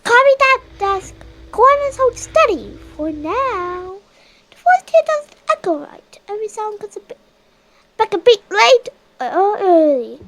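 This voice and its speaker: A performer playing an uneasy field reporter lost in a looping forest, sending halting dispatches over the radio in an eerie audio drama. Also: extremely high pitch, very animated, a bit bright, exaggerated voice. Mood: uneasy, watchful. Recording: very noisy radio transmission with clicks.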